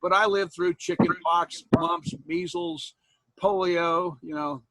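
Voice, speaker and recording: A man's voice: high-pitched, very animated, slow, creaky, fairly bright tone, no echo, rough recording. Folksy joking man in his sixties. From Iowa, USA. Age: 60s. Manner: folksy, joking, laughs often. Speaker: college instructor. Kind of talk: casual chat with students after an online class. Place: home, indoors, on a video call. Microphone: computer mic on a video call.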